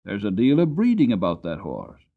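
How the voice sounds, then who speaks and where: A hearty old country grandfather, as a man acts him out. He is kindly and good-humoured, speaking deliberately with big swings of pitch. The storybook recording is a little dull. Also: huge pitch swings, vocal fry, exaggerated voice. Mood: musing, kindly. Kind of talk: dramatic audiobook character voice.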